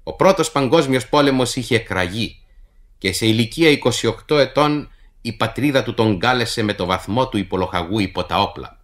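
Man with a very lively radio narration. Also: medium pitch. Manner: formal, measured literary delivery. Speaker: radio announcer introducing a play. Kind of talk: scripted narration for radio theatre.

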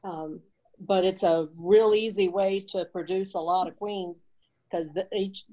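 A woman's voice: fairly low pitch, steady tone, unhurried, warm tone. A woman with a stuffy head cold explaining beekeeping on a video call. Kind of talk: casual video call advice. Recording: dull lo-fi video call audio.

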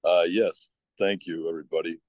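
A man's voice: low-pitched, steady tone, normal speed, darkish tone, dry recording. A man in his forties reading poetry into a phone held at arm's length on a video call. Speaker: poet.

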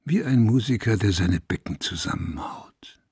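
A man playing a brooding, unhinged madman in a German audio drama, slow and intense, with a husky voice. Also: lowish voice, expressive, a little mellow, dry recording, clean studio sound, exaggerated voice.

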